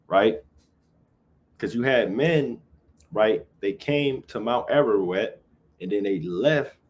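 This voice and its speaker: A man's voice: lowish voice, slight background noise. A man teaching scripture informally on a livestream, reading verses aloud, then explaining them casually and tagging nearly every phrase with "right?". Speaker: Bible teacher.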